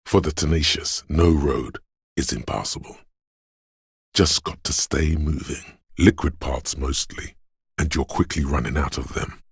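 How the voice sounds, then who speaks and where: A man voicing the grim inner voice of a body's endurance in a video game, raspy and gravelly, narrating slowly and ominously in the second person. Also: very deep voice, no echo, clean studio sound, heavily acted. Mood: grim, weighty. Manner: slow, weighty, ominous.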